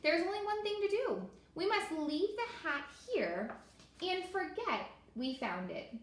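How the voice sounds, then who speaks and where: A woman voicing gentle, earnest turtles from a children's storybook in simple, measured dialogue. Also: extremely high pitch, very animated, voice pushed up, exaggerated voice. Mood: polite, earnest. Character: gentle storybook turtles sharing one found hat. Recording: echoey room with faint noise.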